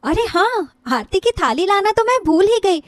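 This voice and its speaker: A woman acts out a cheerful young sister-in-law in a storybook tale. Her voice is very high and swings in animated arcs. She talks eagerly and playfully, full of affection, in a dry, close recording. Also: normal speed, dry recording. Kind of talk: animated Hindi moral-story character voice.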